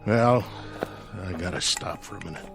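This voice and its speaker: An actor in a radio drama playing a sullen, weary soldier stranded on a desert planet, grumbling and defeatist. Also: male voice, deep voice, normal speed, vocal fry, over music.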